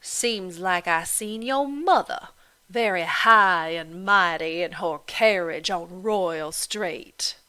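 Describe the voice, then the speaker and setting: Woman reading a bullying landlady, loud drawl, bright hard edge. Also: lowish voice, slow pace, smooth. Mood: taunting. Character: sneering, coarse woman landlady, scornful and bullying.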